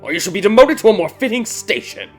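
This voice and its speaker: A man voicing a stern, irritable king and grieving father with a rigid, domineering manner, in a dark fairy tale. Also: sits high, lively intonation, quick pace, voice pushed up. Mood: shouting angry. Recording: background music behind the voice.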